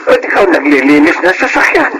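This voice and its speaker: A man's voice: on the high side, big pitch swings, brisk. A man playing a fussy, protective household driver in a Filipino radio drama, insistent and exclamatory, with kindly scolding. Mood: flustered scolding. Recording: clear background noise under voice.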